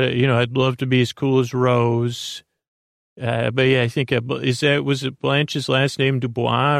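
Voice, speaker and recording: A man with a creaky voice hosting a sleep podcast in a meandering, halting monologue, often interrupting himself. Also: mid-range voice, no room sound, clean studio sound.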